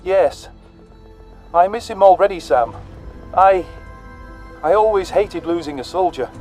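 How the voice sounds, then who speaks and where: Man playing male alien soldier, high voice, earnest, guilt-ridden, sci-fi audio drama, music behind. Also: expressive, unhurried, darkish tone. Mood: grieving.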